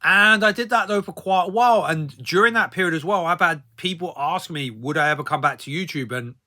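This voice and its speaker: A middle-aged man with a very bright, forceful voice pitched a little high. He talks in a casual, rambling vlog style, as if chatting through a personal story, and often drops in small filler tags. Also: fairly quick. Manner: rambling, conversational, frequent verbal tags like "you know" and "though". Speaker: vlogger.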